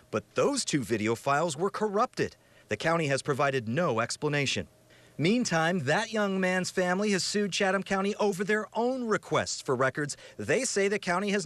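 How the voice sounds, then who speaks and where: An American man reporting investigative news in a scripted, emphatic and measured broadcast delivery. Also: on the high side, a bit bright, no echo.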